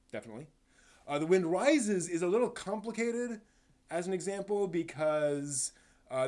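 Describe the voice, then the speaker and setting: A man hosting a live stream, giving casual commentary in a halting, thinking-aloud way with emphatic stress on key words. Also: a little high, a little mellow.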